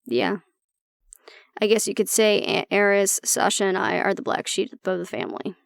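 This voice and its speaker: Woman voicing a young monster rehabilitator, gravelly fry, dry close sound, casual and confiding, nervy, tough yet soft, audio roleplay. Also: mid-range voice, level tone, no room sound, lightly acted. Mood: wry, guarded. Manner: casual, confiding, sweary, pausing. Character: anxious young woman, tough-fronted softy, werewolf rehabilitator. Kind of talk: intimate audio roleplay addressed to the listener.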